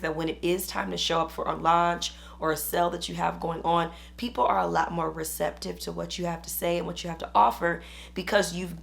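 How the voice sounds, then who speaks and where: Hoarse woman business coach, gravelly voice. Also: on the low side. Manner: chatty, upbeat, uses "y'all". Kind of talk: casual talk to camera.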